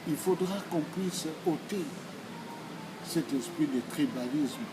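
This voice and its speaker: A man in his sixties with a Congolese accent, giving formal answers in a deliberate, emphatic, oratorical way, phrase by phrase. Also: a little high, music in the background, low-quality recording. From Democratic Republic of the Congo. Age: 60s. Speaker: speaker answering questions.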